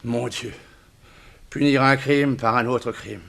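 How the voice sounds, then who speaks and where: An actor playing a weary, kindly old judge in a 1960s French radio drama, measured and formal. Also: male voice, medium pitch, steady tone, vocal fry, a bit bright. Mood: pleading, weary. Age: older.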